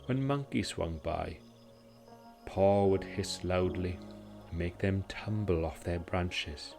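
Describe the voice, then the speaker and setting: A Welsh man gently narrating a scripted bedtime story in a soothing, calming way, as its host. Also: low-pitched, slow, gravelly. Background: music.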